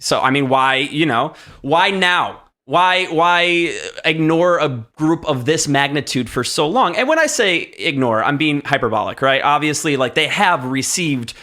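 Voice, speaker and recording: A man's voice: fairly high pitch, lively intonation, measured pace, hardly any pauses, bright, forceful tone. A man hosting a talk show, giving conversational commentary in an emphatic, explanatory way and often checking in with rhetorical questions. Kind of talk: conversational music industry commentary.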